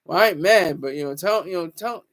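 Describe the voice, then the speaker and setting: High-voiced man rambling on a livestream. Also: brisk, a bit bright. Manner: rambling, teasing and joking with viewers; stammers and restarts often. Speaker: livestream host. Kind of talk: casual livestream chat.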